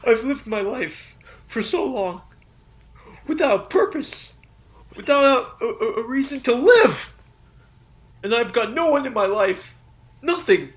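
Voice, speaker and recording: A man voicing a desperate office worker who rants and yells near tears, in a comedy sketch. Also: very high-pitched, lively intonation, a little slow, pauses often. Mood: ranting. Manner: yelling, ranting, near tears. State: shouting. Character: fed-up young male office worker, desperate, exploding. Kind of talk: comedy voice acting.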